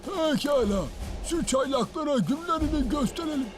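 A man's voice: very high-pitched, expressive, moderate pace, soft background music, poor audio. A man playing a protective, hearty old grandfather and team leader in a Turkish cartoon dub. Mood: rallying. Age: older.

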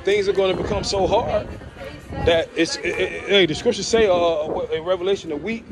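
A man in a casual religious talk while walking outdoors, halting and repeating words as he searches for the next. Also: high voice. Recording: noisy outdoor recording, wind and engine noise.

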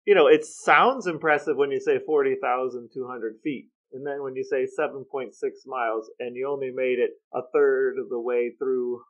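A man's voice: mid-range voice, steady tone, moderate pace, few pauses, highs cut off. A man co-hosting a podcast in casual chat, with a wry, joking manner. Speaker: podcast co-host.

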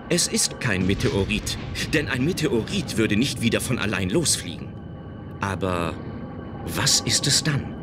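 A man narrating a children's anime audio play as a scripted dramatic storyteller. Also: fairly low pitch. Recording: loud music under the narration.

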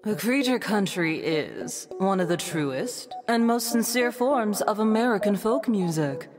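A woman's voice: medium pitch, expressive, unhurried, natural voice. A woman playing an earnest folk music commentator in a mock-documentary audio drama, with static and dramatic music behind.

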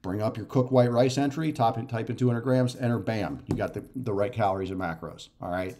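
A man's voice: lowish voice, fairly quick, mellow, slight background noise. A man in his forties giving a casual instructional talk to camera, going step by step and often checking in with "okay?" or "right?". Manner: repetitive step-by-step explaining with frequent "okay?" and "right?" check-ins. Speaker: fitness and nutrition coach. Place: living room at home.

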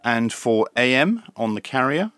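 A man's voice: mid-range voice, sing-song, measured pace, a bit bright, no echo, faint music behind. A man giving an informal hands-on product review, explaining things methodically, step by step. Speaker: radio reviewer, channel host. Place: small indoor room at a desk.

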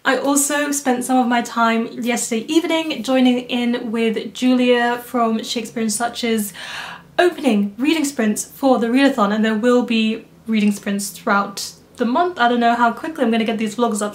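A woman's voice: fairly high pitch, fairly even intonation, normal speed. Chatty young woman vlogging. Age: young adult. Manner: chatty and enthusiastic, laughs easily. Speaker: book vlogger. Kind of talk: casual reading vlog. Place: small room at home, indoors.

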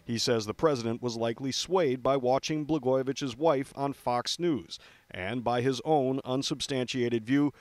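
A man's voice: medium pitch, moderate pace, no echo. Male TV reporter, American, middle-aged, mid-range voice, scripted news delivery.

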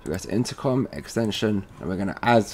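A man presenting an informal software tutorial, casually thinking aloud as he goes step by step. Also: lowish voice, animated, fast. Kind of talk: informal screen-recorded software walkthrough. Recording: noisy room with keyboard typing.

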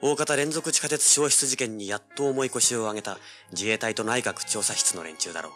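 A man acting a low-voiced, cocky treasure hunter. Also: fairly quick, fairly bright tone, faint music behind, lightly acted. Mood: wry, subdued. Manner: cocky, rough-spoken, hard-boiled. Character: brash, tough young male treasure hunter narrating his adventure. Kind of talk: 1980s audio drama acting with first-person narration.

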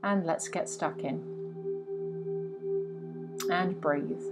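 A woman guiding a meditation in a soothing, instructive way, with frequent pauses between phrases. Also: low voice. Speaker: guided meditation teacher. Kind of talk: guided meditation narration. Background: meditation music with singing bowls.

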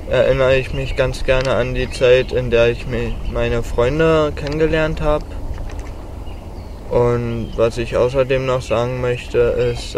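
A sixteen-year-old boy introduces himself very slowly and calmly, pausing often between his phrases. He is recorded outdoors with faint background noise. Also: mid-range voice. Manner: calm, halting, many pauses. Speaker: student. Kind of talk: self-introduction to camera. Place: outdoors on a meadow.

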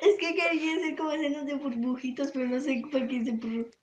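High-voiced playful woman. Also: flat delivery, fairly quick, slight background noise. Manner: playful, teasing. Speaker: amateur voice actor. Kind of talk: casual bantering outtakes.

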